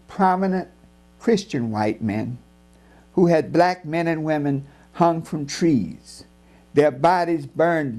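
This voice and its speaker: Guy reading his own book out loud, slightly high voice, serious n emphatic, takes it slow and deliberate. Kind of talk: scripted narration, audiobook reading.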